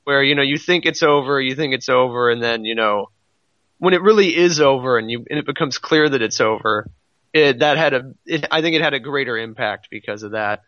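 A man's voice: mid-range voice, a little fast, bright, forceful tone, dry recording, muffled recording. A man in a casual podcast discussion of manga, rambling a little as he thinks aloud. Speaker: podcast co-host.

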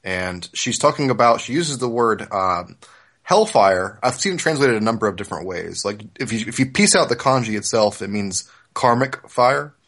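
A man hosts a casual podcast discussion with a bright, forceful voice. His pitch moves around in a lively way, and he speaks informally. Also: normal speed. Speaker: podcast host.

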